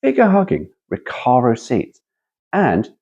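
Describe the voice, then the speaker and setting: A man's voice: medium pitch, huge pitch swings, a little slow, darkish tone, dull audio. A man hosting a car vlog, chatting casually and reading brochure copy aloud, with playful, rambling asides. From United Kingdom. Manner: rambling, playful, frequent self-interrupting asides.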